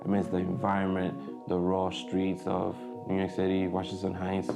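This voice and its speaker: A man's voice: sits low, completely flat delivery, normal speed, creaky, over music. A young man, a native Spanish speaker from the Dominican Republic, chatting casually to camera in a vlog, hesitant with many fillers and restarts. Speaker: vlogger.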